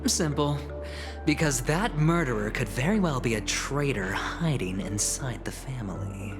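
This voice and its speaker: Smug, theatrical young gambler, played by a man, sweeping pitch. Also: animated, a little slow, lightly acted. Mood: scheming, confident. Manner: teasing, dramatic, breaks into hushed asides and soft chuckles. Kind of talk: video game voice acting. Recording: game music under the voice. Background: game music.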